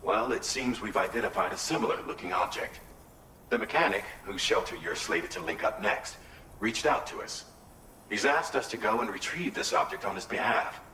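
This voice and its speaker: A man's voice: lowish voice, animated, normal speed, pushed and bright, slight background noise, poor audio. An actor playing a businesslike mission briefer in a video game, formal, precise and composed as he lays out orders.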